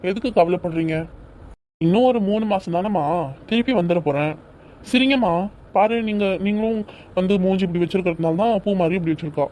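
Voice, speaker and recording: Casting: young man as a soft-spoken soldier son, mellow, higher voice, reassuring and pleading. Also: brisk, slight background noise, close to an everyday voice. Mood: pleading, soothing.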